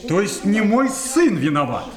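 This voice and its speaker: Flustered boy faking being a dad, very high, stammering, roomy room with crowd noise. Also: sing-song, measured pace. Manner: stammering, correcting himself. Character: flustered schoolboy posing as his own father. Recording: roomy, with a crowd of voices behind.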